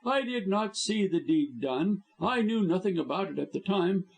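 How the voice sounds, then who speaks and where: A man's voice: high-pitched, animated, normal speed, creaky, pitched above the performer's own voice. A man reading an audiobook, voicing a scheming male lawyer who sneers and threatens. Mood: threatening.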